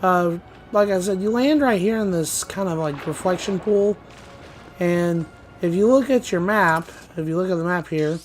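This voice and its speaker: A man with a slightly hoarse, groggy voice giving loose, rambling let's play commentary. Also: high-pitched. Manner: rambling, loose, with many fillers and restarts. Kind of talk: casual gameplay commentary.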